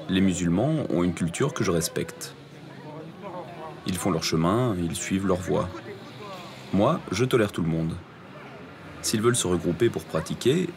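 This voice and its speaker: A man giving his opinion to a reporter at an outdoor event, plain and matter-of-fact. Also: low voice. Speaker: interviewee.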